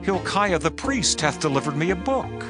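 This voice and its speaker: A man's voice: sits high, very animated, normal speed, over music. A man playing a dutiful royal scribe in a dramatized scripture reading, reporting in a formal, declamatory manner.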